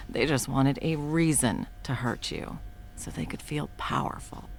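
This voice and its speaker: A woman voicing a scheming female supervillain who swears and often drops to a soft whisper, in a one-sided audio roleplay. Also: sits low, creaky, a little hiss or hum. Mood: scornful, scheming.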